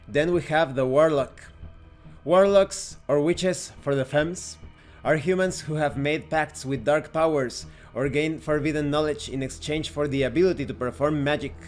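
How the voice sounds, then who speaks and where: A man narrating a scripted tabletop game review in a methodical, explanatory way, as the host. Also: a little high.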